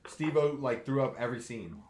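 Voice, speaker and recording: A man's voice: mid-range voice, fairly quick, darkish tone, slight background noise. A young man hosting a casual podcast from his desk mic, rambling, swearing and laughing a lot. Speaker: podcast host. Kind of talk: casual chat. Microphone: desktop mic, remote call.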